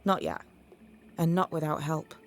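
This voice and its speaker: A woman playing a blunt, sly young witch's novice in a video game, wry and teasing in a deadpan way. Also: low-pitched, unhurried, slight background noise. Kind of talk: video game cutscene acting.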